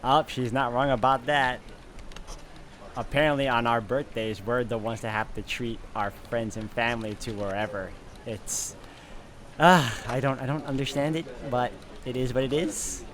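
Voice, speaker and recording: A man's voice: mid-range voice, fairly even intonation, normal speed, a bit bright, slight background noise. A man hosting a gaming video, reading visual novel narration aloud.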